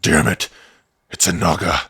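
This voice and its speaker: A gruff orc adventurer, acted by a man, with a low voice that is very raspy. He is an easygoing, protective outcast with a wry streak, talking straight to his companion in a fantasy ASMR roleplay. The sound is dry and close. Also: steady tone, unhurried, pushed and bright, no room sound, full character voice. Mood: urgent. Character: rough, kind-hearted male orc outcast, protective wandering adventurer.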